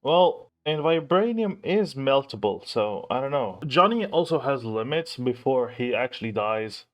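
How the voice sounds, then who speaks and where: A man's voice: medium pitch, very animated, a little slow. A man hosting a reaction video, giving casual off-the-cuff commentary and thinking aloud hesitantly.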